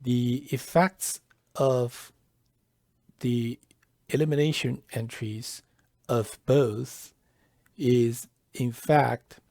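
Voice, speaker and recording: A man presenting a software tutorial step by step, halting and deliberate, often pausing mid-phrase. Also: medium pitch, steady tone, no echo, studio quality. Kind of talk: step-by-step tutorial narration, lightly scripted.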